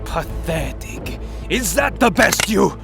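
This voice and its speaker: Ancient vampire lord, scornful and unhurried; a high, swooping voice. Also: male voice, expressive, creaky, loud music behind the voice, exaggerated voice. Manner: scornful, slow and sneering. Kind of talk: audio drama acting.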